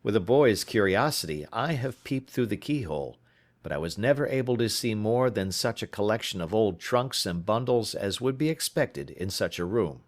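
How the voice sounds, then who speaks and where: A man reading a first-person literary story as an audiobook narrator, in a measured, formal storytelling manner. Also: on the low side, expressive.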